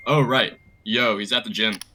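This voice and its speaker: A man's voice: lowish voice, fairly even intonation, normal speed, sharp tone. A young man acting as a laid-back slacker college student in a naturalistic audio drama. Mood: breezy, casual.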